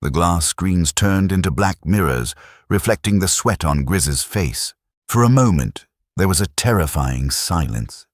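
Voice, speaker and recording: A man narrating a science fiction audiobook with dramatic, expressive storytelling. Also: low-pitched, measured pace, no echo, clean studio sound.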